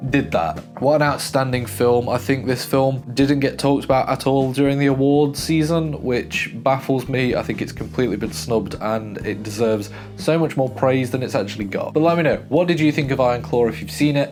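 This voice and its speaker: A man hosts a casual film review in an emphatic, expressive way, often restarting his sentences. His voice sits at a middle pitch and still carries a throat that is getting over being sore. Faint guitar music plays quietly behind him. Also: fairly quick, hardly any pauses.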